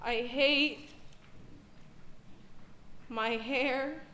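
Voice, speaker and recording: A teenage woman performing a dramatic stage monologue as an anguished, fearful girl, speaking in short broken phrases with heavy pauses. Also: sits high, fairly even intonation, pushed and bright. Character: traumatized, trafficked sixteen-year-old girl, anguished and fearful. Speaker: stage performer in a high school production. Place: indoor high school stage performance. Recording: roomy stage sound with faint noise.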